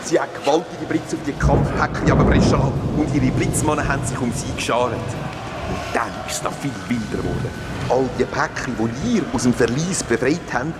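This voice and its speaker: A man's voice: mid-range voice, a little fast, close to an everyday voice. A man playing a fantasy-world ruler in a Swiss German children's audio drama, telling the tale in an emphatic, theatrical way. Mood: dramatic recounting. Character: grateful fantasy-world ruler freed from a spell, recounting dramatically. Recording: long reverb, music behind.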